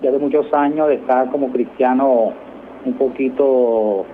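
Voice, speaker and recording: A man's voice: mid-range voice, unhurried. A middle-aged man with a Venezuelan accent, answering interview questions in a conversational way as a military guest. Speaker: interview guest, army colonel. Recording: noisy, tinny phone-like line.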